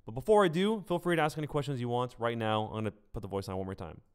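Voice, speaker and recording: A man's voice: mid-range voice, very fast, smooth. A man giving casual livestream gaming commentary, speaking conversationally and directly to his viewers. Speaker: streamer and gaming news host.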